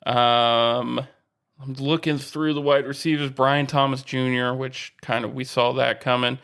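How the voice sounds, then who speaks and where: A man's voice: medium pitch, flat delivery, measured pace, creaky, a bit bright, clear, resonant tone. A man with an American accent co-hosting a sports podcast in casual, bantering conversation.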